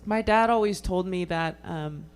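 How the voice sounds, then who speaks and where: A woman answering a panel question in a hesitant, quiet way, with many pauses and ums. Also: fairly low pitch, smooth.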